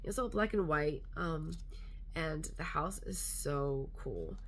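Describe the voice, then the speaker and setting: Woman, stuffy nose, nasal, low voice, casual rambling vlog chat. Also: slow pace, slight background noise. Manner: chatty, rambling, many fillers. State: congested, nasal. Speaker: vlogger.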